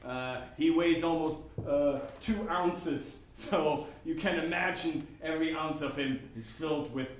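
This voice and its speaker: A woman's voice: sits low, unhurried, hardly any pauses, slight background noise. A woman improvising an earnest young male gnome who also narrates, performed live on stage. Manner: earnest, lively, laughs often. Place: theatre stage. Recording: roomy live stage, distant mic.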